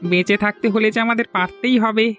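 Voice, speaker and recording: A man's voice: high-pitched, steady tone, fast talker, a bit bright, soft background music. An actor playing a troubled but practical male villager in a fairy-tale cartoon, earnestly reasoning things out.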